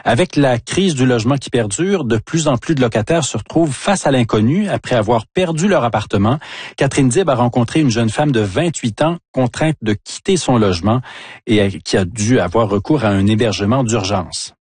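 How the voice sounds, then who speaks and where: Male bulletin presenter, husky, scripted delivery, dry sound. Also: medium pitch, expressive, normal speed, a little mellow, no echo. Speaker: regional news bulletin presenter. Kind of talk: scripted news reading.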